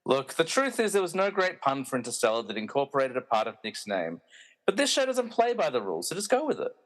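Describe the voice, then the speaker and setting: A man's voice: mid-range voice, wildly swooping pitch, quick pace. A man narrating a scripted comic radio play with wry, self-aware delivery.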